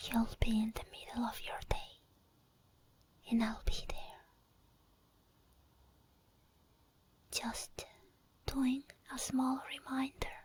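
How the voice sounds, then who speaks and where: A woman playing an obsessed, possessive sleep paralysis demon in an ASMR roleplay, whispering slowly and breathily with coaxing, teasing menace. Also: a little high, a little mellow, slightly rough, heavily acted. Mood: possessive, hypnotic. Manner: slow, deliberate, coaxing and controlling.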